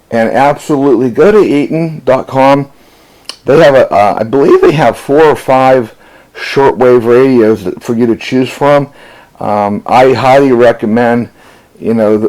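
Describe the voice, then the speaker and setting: A man's voice: mid-range voice, a little mellow, rough recording. A man who is a ham radio operator, casually demonstrating radio gear to a camera, folksy and full of fillers and "okay?" check-ins. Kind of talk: casual product demo to camera.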